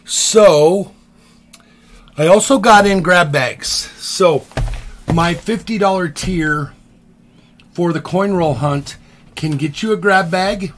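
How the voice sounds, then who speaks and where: Middle-aged male host, slightly high voice, chatty sales patter with fillers, home office desk mic. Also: a little hiss or hum. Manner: chatty, relaxed, many ums. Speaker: coin dealer host. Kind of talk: casual live-stream sales talk. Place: home office with coin displays.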